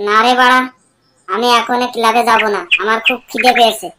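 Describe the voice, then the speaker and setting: A woman's voice: a little high, fairly even intonation, brisk, lightly acted. A woman voicing a blunt, slangy young village lad in a comedy cartoon. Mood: dismissive. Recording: loud birdsong and clicking noise.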